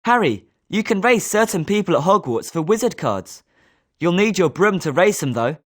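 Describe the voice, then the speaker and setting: A man's voice: sits high, big pitch swings, moderate pace, a bit bright, exaggerated voice. A male actor playing a smug, rivalrous schoolboy at a wizard school in scripted video game lines. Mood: informative.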